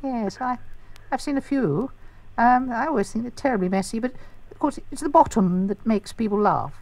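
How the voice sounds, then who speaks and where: A man's voice: extremely high pitch, moderate pace, darkish tone, voice pushed up. A man voicing a prim, genteel middle-aged chairwoman on a prank phone call, polite and hesitant. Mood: coy, flustered.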